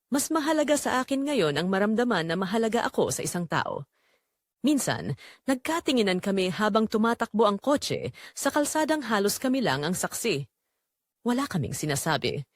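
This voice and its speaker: A woman with a Filipino accent narrates a dramatic first-person romance story in a measured, expressive way. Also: medium pitch, no echo, studio quality.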